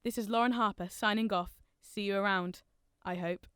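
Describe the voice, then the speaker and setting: An actress playing a friendly, earnest female research assistant on a deep-sea mission in a radio drama, chatty in manner. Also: steady tone, moderate pace, a bit bright, clean tone, dry recording, studio quality, close to an everyday voice. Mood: cheery, chatty.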